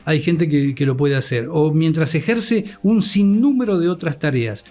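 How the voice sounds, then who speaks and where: Male interview guest, middle-aged, Argentine accent, higher than average pitch, reflective scholarly phrasing, light music bed. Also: lively intonation, mellow. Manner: measured, articulate, formal vocabulary. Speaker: interview guest, communication academic and scriptwriter.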